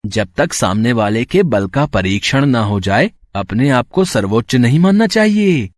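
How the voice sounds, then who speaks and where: A man playing a young elephant-headed boy god, dutiful and boldly calm, with polite, formal speech. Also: medium pitch, unhurried, dry recording, clean studio sound. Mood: mocking. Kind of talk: acted dialogue in a Hindi mythological story.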